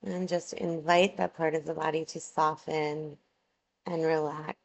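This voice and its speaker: A woman guiding a yoga relaxation meditation, giving soothing, measured instructions with long pauses between phrases. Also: low-pitched, level tone, gravelly.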